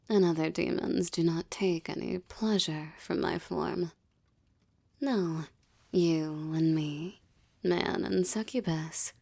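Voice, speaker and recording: A woman voicing a seductive, menacing succubus in an intimate audio roleplay, with formal, ornate phrasing and a teasing, breathy delivery. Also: fairly low pitch, warm tone, no room sound, full character voice. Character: seductive, menacing adult female succubus demon, teasing and possessive. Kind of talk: intimate audio roleplay, ASMR-like monologue addressed to listener.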